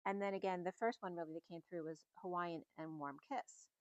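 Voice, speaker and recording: Soft, dry-voiced woman musing. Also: lowish voice, fairly even intonation, fairly quick, no room sound, dull audio. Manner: meandering, soft-spoken, musing. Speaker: spiritual reader. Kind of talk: casual channeled message reading.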